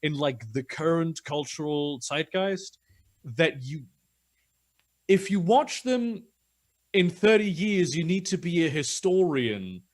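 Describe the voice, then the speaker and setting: A man's voice: fairly high pitch, expressive, slow pace. A German man with an English-sounding accent, a guest chatting casually and wryly on a live stream. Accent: English-sounding, German-born. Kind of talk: casual online conversation.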